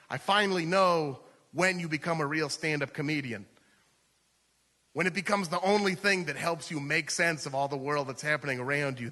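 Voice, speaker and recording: An American man performs comedic personal storytelling in a conversational, filler-peppered way. His voice is very bright and sharp and sits a bit high for a man. It rings through a live hall with long reverb, like a stage microphone in a theater. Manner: conversational, peppered with "like" and "you know". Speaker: stand-up comedian.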